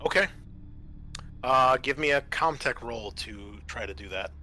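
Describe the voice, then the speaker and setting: Man, game master, bright voice, medium pitch, halting narration, thinking aloud. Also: a little hiss or hum. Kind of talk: narrating a tabletop roleplaying game.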